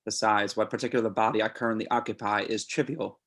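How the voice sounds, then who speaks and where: A man acts out a fanatical killer in a screenplay read, composing a letter to his idol. His voice sits on the low side, and he speaks in measured, formal phrases. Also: steady tone, fairly bright tone. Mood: confiding, self-important. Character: obsessed male serial killer writing to his idol.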